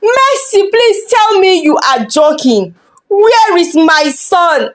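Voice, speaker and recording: A woman's voice: extremely high pitch, big pitch swings, takes it slowly, pitched above the performer's own voice, full character voice. A woman storyteller voicing a selfish, hot-tempered husband and father in an emphatic, dramatic way for a folktale. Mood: anguished pleading.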